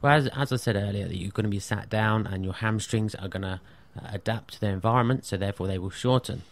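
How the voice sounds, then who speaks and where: A man's voice: lowish voice, fast, no echo. A middle-aged man, a fitness trainer, explaining things casually as a radio guest. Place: radio studio.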